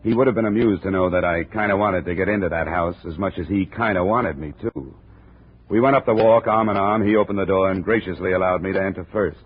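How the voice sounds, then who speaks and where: Hard-boiled male sleuth male actor, deadpan wisecracker, vintage radio crime drama, thin hissy sound. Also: low voice, fast, natural voice. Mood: wry, deadpan. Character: wry hard-boiled male insurance investigator, cool under threat. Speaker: narrator and lead actor. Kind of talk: 1950 radio detective drama acting. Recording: tinny, noisy old radio broadcast.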